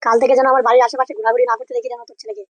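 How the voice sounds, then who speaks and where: Angry cartoon neighbour man, male performer, pitched up, threatening, dry sound. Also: flat delivery, rapid-fire, fairly bright tone, no echo. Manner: scolding, threatening, crude. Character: angry, foul-mouthed neighbourhood man protecting his daughter. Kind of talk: comedy cartoon voice acting.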